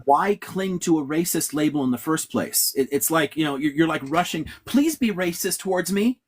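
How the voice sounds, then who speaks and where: A man talks through history in a frank, lecturing way, swearing freely and stacking up emphatic asides. His voice has a husky, slightly breathy edge, and he sounds like he is on a video call. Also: on the high side, expressive, normal speed. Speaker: guest historian. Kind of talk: conversational interview answer.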